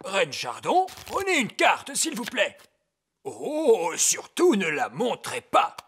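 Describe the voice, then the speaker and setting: Creaky, pompous wise old elf. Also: mid-range voice, huge pitch swings, slow pace, fairly bright tone, exaggerated voice. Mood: showman's flourish. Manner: pompous, theatrical, declamatory. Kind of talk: animated children's cartoon dub.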